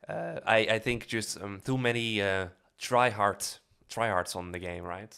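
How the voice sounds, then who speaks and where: A man's voice: on the low side, measured pace, sharp tone, smooth. A young man in his twenties with a Dutch accent, chatting casually on a live stream into a studio mic, rambling with lots of fillers and swearing. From Netherlands. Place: small dark room indoors. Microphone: studio mic on a boom arm.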